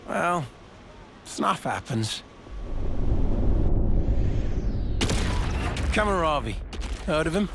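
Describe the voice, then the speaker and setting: An actor playing a friendly, plain-spoken soldier in a video game cutscene, talking in a casual, colloquial way. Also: male voice, mid-range voice. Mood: explaining.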